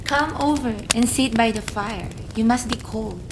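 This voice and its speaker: A woman's voice: a little high, a little slow, background noise. An actress playing an emotional, easily wounded woman in a radio play. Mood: subdued, gentle. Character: bitter, hurt young woman, later gentle middle-aged daughter.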